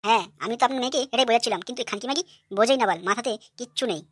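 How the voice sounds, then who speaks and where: Woman doing a rapid-fire, brash young son-in-law. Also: fairly high pitch, a bit bright, no echo, studio quality. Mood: angry, ranting. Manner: brash, ranting. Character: foul-mouthed, hot-tempered, aggrieved young son-in-law. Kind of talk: cartoon comedy dialogue.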